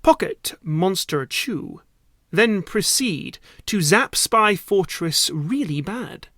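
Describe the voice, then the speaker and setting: Slow, theatrical male storyteller, dry close sound. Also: sits high, very animated, sharp tone, dry recording. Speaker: voice actor reading a story. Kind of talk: dramatic narrated story reading.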